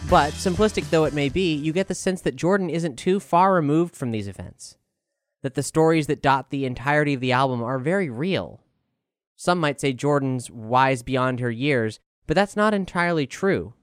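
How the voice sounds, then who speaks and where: A man reading a scripted music review as a narrator, with a clear, smooth voice over music. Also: fairly high pitch, lively intonation, moderate pace, no echo, studio quality.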